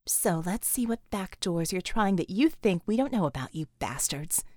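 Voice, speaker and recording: Narrator playing a tough woman coordinator, slightly hoarse, supportive, audiobook heroine, dry close sound. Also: fairly low pitch, expressive, moderate pace, dark tone, no echo, pitched below the performer's own voice. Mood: muttering, scornful.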